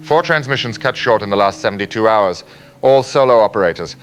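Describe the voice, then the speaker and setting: A man playing a somber, analytical survivor radio operator, measured and bleak, in an audio drama. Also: lowish voice, lively intonation, soft background music, lightly acted. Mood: analytical, grave. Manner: measured, matter-of-fact, bleak. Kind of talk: post-apocalyptic audio drama acting.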